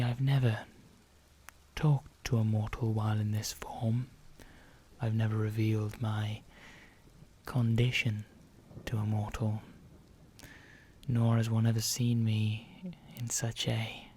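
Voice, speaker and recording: A man playing an ancient, condescending vampire in an ASMR roleplay, speaking softly and deliberately, often slipping into a whisper, with calm menace. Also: lowish voice. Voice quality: soft, breathy, often dropping into whisper. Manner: slow, deliberate, pausing, formal.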